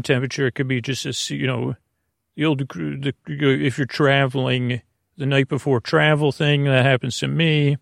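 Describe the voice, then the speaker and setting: A man with a creaky, gravelly voice hosting a bedtime podcast in a lulling, meandering, halting monologue full of stammers and tangents. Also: medium pitch, no echo, studio quality. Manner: soothing, lulling. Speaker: podcast host. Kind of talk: rambling bedtime monologue to lull listeners to sleep.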